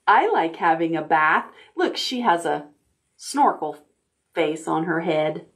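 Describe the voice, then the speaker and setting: A middle-aged woman hosting a children's storytime, reading aloud in simple, expressive language for toddlers. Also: low-pitched, slow pace. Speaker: library storytime host. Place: indoor room, recorded on video.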